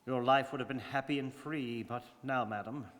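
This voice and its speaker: A man playing a reserved, precise English gentleman in a radio drama, speaking formally and with stoic restraint. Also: medium pitch, steady tone, moderate pace, natural voice. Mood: grave, resigned. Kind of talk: stage radio drama acting. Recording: very reverberant studio hall.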